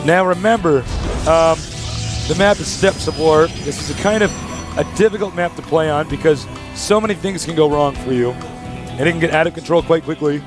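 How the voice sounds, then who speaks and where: A man's voice: a little high, normal speed, music in the background. A young man doing live esports play-by-play in a packed studio, hyped, joking and announcer-like. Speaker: esports commentator.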